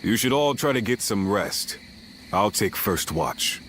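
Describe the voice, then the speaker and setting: A man playing a steady, determined warrior of ancient China in a video game, measured and composed. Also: on the low side, slight background noise. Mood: calm. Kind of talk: video game dub acting.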